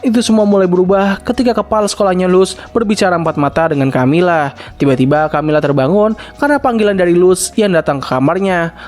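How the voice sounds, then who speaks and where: A man's voice: sits high, a little mellow. A young man with an Indonesian accent narrating a scripted cartoon recap, with bits of casual slang. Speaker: video host and narrator.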